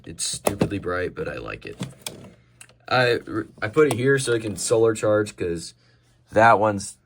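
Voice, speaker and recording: Low-voiced young American man, rambling casually. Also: a little hiss or hum. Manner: halting, self-correcting, rambling. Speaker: hobbyist showing a radio collection. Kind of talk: casual show-and-tell vlog. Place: indoors at home by a desk.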